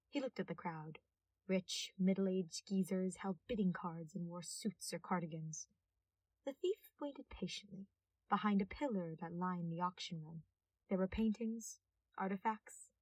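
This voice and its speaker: A woman's voice: mid-range voice, dry recording, recorded from a distance, rough recording. A woman reading scripted fiction aloud as a narrator. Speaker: podfic narrator.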